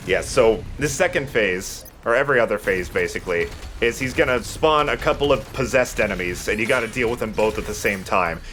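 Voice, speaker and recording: A man's voice: on the low side, expressive, a little fast, few pauses, a bit bright, a little hiss or hum. A man giving casual, joking gameplay commentary in an informal way. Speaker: let's play co-host, player. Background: video game music.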